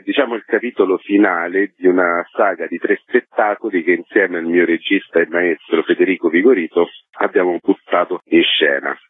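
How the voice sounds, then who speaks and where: A man's voice: low voice, no echo. A man explaining his work as a playwright in a radio interview. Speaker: theatre playwright interviewed as guest. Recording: dry, tinny phone line.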